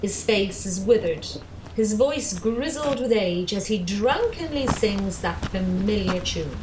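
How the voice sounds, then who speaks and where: A woman's voice: mid-range voice, lively intonation, takes it slowly. A woman narrating a scripted tale for a radio play in a storytelling style. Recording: echoey room, faint noise.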